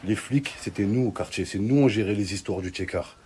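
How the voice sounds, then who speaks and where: A man's voice: on the low side, a little fast, a little mellow. A man telling comic stories in casual street slang, in an exclamatory, mock-indignant way. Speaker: online comedian. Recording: clearly noisy background.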